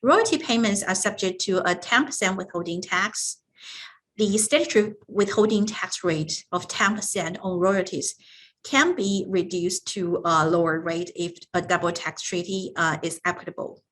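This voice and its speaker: A woman's voice: lowish voice, a bit bright, slight background noise, low-quality recording. A woman presenting scripted business material in a formal, explanatory way, often hesitating as she reads. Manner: formal, halting reading with frequent hesitations. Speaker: business tax advisory presenter.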